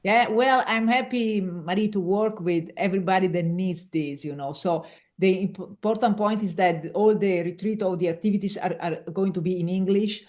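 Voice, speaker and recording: A woman's voice: mid-range voice, normal speed, a little mellow. A woman from Italy's Veneto region, a native Italian speaker with an Italian accent, speaking as an executive coach guest in a casual interview. She restarts words often as she searches for her English phrasing.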